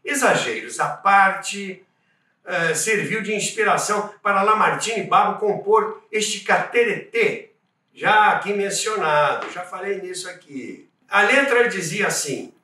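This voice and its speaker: A Brazilian man narrating as the host of a radio history show, speaking in an emphatic, deliberate way. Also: sits high, lively intonation, bright, forceful tone. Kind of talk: storytelling narration. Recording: echoey room, distant from the mic.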